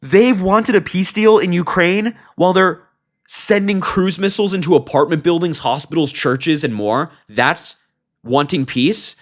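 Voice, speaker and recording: A man's voice: high voice, unhurried. A man hosting a political commentary show, reading out reports and reacting with emphatic, indignant disbelief. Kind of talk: reading a transcript aloud with opinionated commentary.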